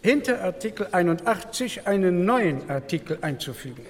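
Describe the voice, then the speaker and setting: An actor playing a businesslike 1940s German assembly president, formally running parliamentary procedure. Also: male voice, high voice, expressive, moderate pace. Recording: echoing plenary hall.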